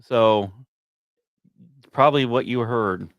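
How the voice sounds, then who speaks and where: A man hosting a podcast, reading scripted space and science narration with occasional asides, in dramatic storytelling phrases broken by short pauses. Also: mid-range voice, a bit bright, no echo, muffled recording. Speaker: podcast host.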